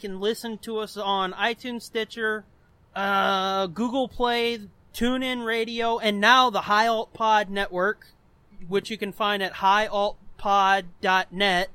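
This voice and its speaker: A man's voice: high-pitched, pushed and bright, clean tone, dry recording. A man co-hosting a podcast in casual chat, speaking informally and swearing freely. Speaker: podcast co-host.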